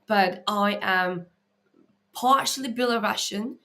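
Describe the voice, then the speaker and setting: Woman, Eastern European accent, mid-pitched voice, earnest emphatic delivery, live Q&A coaching talk, frequent check-in tags. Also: slow pace, fairly bright tone. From Latvia. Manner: emphatic and earnest, with repeated check-words like "okay" and "right". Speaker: mindset coach and live Q&A host. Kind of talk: live Q&A talk to online viewers, off the cuff.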